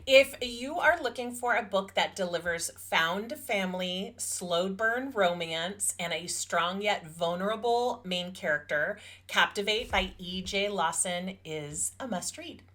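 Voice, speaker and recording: A woman's voice: medium pitch, measured pace, few pauses, bright, forceful tone. A woman co-hosting a podcast, talking about books in an enthusiastic, informal way. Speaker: podcast co-host. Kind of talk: casual book review chat.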